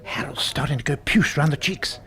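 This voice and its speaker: An actor playing a droll, matter-of-fact British best friend in a radio drama, chummy and wry. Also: male voice, on the high side, brisk, a bit bright, close to an everyday voice. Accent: British English. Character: droll, dry pub-going best friend of the hero.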